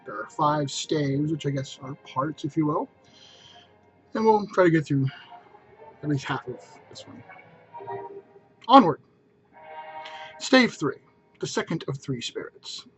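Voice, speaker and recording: A man's voice: fairly high pitch, animated, unhurried, long pauses, fairly bright tone, husky, faint music behind, rough recording. A man reading a classic story aloud as narrator, in a theatrical style with emphatic phrasing.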